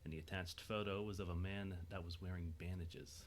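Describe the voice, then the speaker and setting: A man's voice: low-pitched, steady tone, a little fast, a little hiss or hum. A man reading scripted narration for an audio drama as its narrator.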